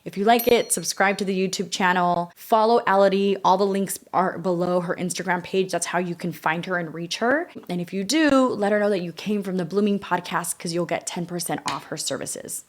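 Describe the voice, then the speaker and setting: An eager woman hosts a podcast, her voice sitting a little on the low side. She strings her thoughts together in long, excited run-on sentences as she introduces her guest. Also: brisk, hardly any pauses. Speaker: podcast host. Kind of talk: podcast interview, enthusiastic intro.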